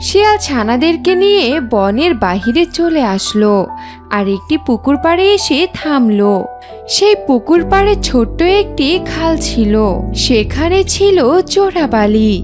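A woman's voice: high voice, big pitch swings, measured pace. A woman narrating a scripted children's cartoon story. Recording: music under the voice.

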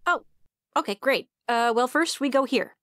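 A woman playing a fussy, chatty castaway in a comedic audio drama, expressive, breezy and rambling. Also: high-pitched, moderate pace, slight background noise. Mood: breezy, defensive. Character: fussy, chatty woman stranded in another world. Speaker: podcast narrator character.

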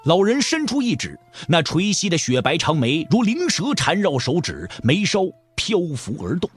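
A man's voice: fairly high pitch, huge pitch swings, normal speed, slightly rough, faint music behind. A man narrating a martial arts novel for an audio drama in a dramatic storytelling style.

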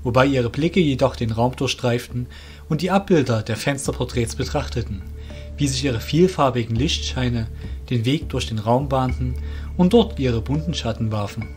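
A man narrates an audio drama from a script. His voice is medium in pitch, with soft music underneath. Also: normal speed.

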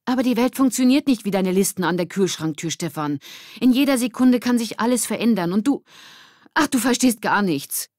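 A woman's voice: fairly high pitch, expressive, brisk, darkish tone, no room sound, studio quality, voice pushed up, close to an everyday voice. Agitated, impulsive woman, raised voice acted by a narrator. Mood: exasperated, angry. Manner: agitated, emphatic. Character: impulsive, exasperated adult woman in a family quarrel. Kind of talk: acted audiobook dialogue.

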